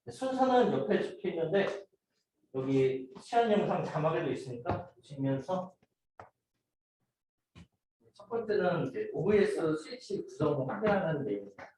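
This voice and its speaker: A man with a slightly high voice gives a student project presentation, explaining in an informal, halting way. He is recorded from a distance in a very reverberant room, with some noise in the recording. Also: very animated, darkish tone. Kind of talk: team project presentation, explaining slides and a demo video. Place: indoor room, voice picked up from a distance. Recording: very echoey room, distant mic, noisy.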